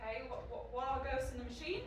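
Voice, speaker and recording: A woman's voice: sits high, quick pace, close to an everyday voice. A woman acting a sardonic, blunt character in an audio drama, telling stories and asking questions. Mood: uncertain, probing. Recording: roomy, distant, faint noise.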